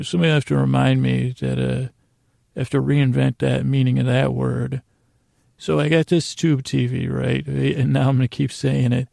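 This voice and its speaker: An American man with a creaky, gravelly voice hosting a podcast, telling a meandering bedtime monologue that keeps interrupting itself. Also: medium pitch, warm tone, no room sound, studio quality. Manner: meandering, halting, self-interrupting, digressive. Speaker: podcast host.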